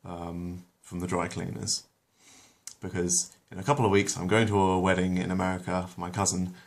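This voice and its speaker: A man narrating a retold cartoon episode like a bedtime story, then chatting with hesitant pauses. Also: sits low, little pitch movement. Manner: hesitant, with many pauses when off-script. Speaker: storyteller, channel host. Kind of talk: reading a retold episode aloud, then casual chat.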